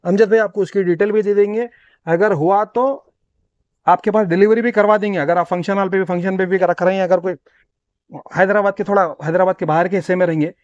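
A Hyderabadi man interviews a farmer in a promotional, conversational way. His voice is high and he talks fast, often repeating what he hears. The recording is dry and somewhat dull. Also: steady tone, a little mellow, dry recording. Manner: repeats answers back, peppers talk with pious phrases. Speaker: video host interviewing a livestock farmer. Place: outdoors on a grassy farm yard. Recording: dull lo-fi sound.

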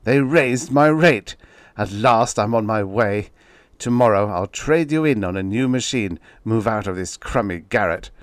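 A man voicing an arrogant male writer who turns shrill and panicky. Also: expressive, normal speed, no room sound, natural voice. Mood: sneering. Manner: high, shrieking, desperate.

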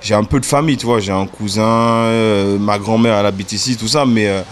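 A man in his thirties from the French Caribbean, a music video director, talking casually in an interview, relaxed and hesitant with many fillers. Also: on the low side, fairly quick, slight background noise. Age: 30s. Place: indoor room. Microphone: desk mic in front.

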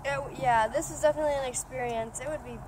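A young woman giving earnest, soft-spoken answers in a casual interview outdoors on a city street. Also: high voice, steady tone, fast, a crowd in the background. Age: young adult. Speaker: student fundraiser being interviewed. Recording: loud street noise outdoors.

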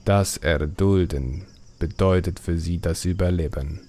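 A man voicing an ancient, wise spirit in an audiobook, grave and drawn-out in delivery. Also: sits low, dark tone. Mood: solemn. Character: ancient wise spirit, solemn and slow, all-knowing. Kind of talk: audiobook character acting.

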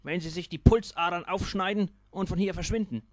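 A man's voice: high voice, steady tone, fairly quick, no echo, pitched above the performer's own voice, natural voice. A man voicing a blunt, matter-of-fact bronze alien in an audiobook.

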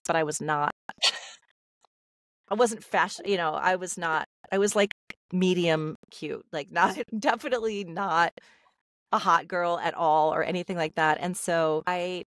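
A woman's voice: lowish voice, dry recording. A middle-aged woman, a voice actor, telling her life story candidly on a video call, with many fillers and restarts. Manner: open, confessional, lots of fillers and restarts. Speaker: guest, voice actor. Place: home room, video call. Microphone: studio mic on stand.

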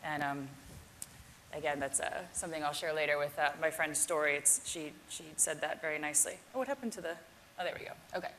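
A woman's voice: low-pitched, fast talker, fairly bright tone, a little hiss or hum. A woman yoga teacher giving a casual keynote talk with a live demonstration, chatty and self-deprecating.

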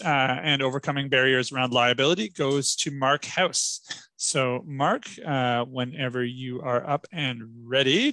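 A man moderating an online election town hall, reading out questions and handing the floor to candidates in a friendly, brisk way. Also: medium pitch, bright, forceful tone. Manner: friendly, brisk hand-offs. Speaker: debate moderator.

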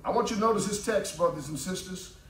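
Preacher man at the pulpit, high voice, big swings, slow, echoey and far off. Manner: preaching cadence, rising to shouts. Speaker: pastor. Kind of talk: sermon. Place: church sanctuary, at a pulpit. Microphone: pulpit microphone. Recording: roomy church from a distance.

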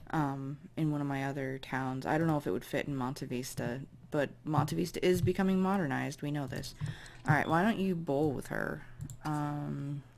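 Female let's-play host, low and raspy, easygoing running commentary. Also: a little mellow. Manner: chatty, musing aloud while directing characters. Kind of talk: casual gameplay commentary.

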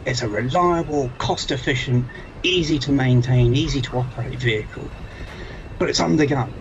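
A middle-aged British man delivers an informal history lecture from a small home office. He tells it like a story, stressing key points and posing rhetorical questions. His pitch is average for a man, and the recording is dull and lo-fi with faint noise. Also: normal speed. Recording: dull lo-fi audio with some noise.

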